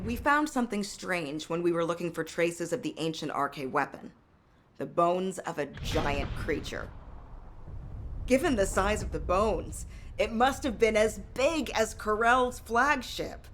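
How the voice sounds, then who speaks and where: An actress playing an observant, curious female field investigator in a video game, earnest and deliberate. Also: medium pitch, expressive, lightly acted. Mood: intrigued, serious. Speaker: actor voicing a game character. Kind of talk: scripted game dialogue.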